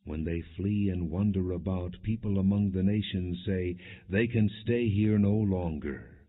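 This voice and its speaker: A man narrating an audiobook, reading scripture in a solemn, measured and dramatic way. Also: low-pitched. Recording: tinny phone-like narrow-band sound.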